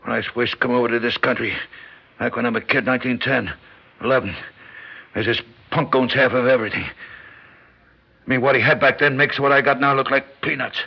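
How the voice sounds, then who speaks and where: An actor in a classic radio drama playing a dying old American gangster boss, menacing and blustering, with a gravelly, husky voice and curt, bullying street talk. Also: male voice, mid-range voice, fairly even intonation, normal speed. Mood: insistent. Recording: dull lo-fi radio drama recording.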